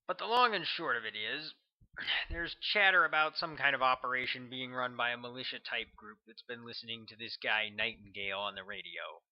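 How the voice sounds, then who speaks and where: A brisk, offhand government agent, voiced by a male audiobook reader, explains matters in a casual, practical way. He has a mid-range male voice. The sound is dull and lo-fi. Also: medium pitch, lightly acted. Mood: explaining, brisk.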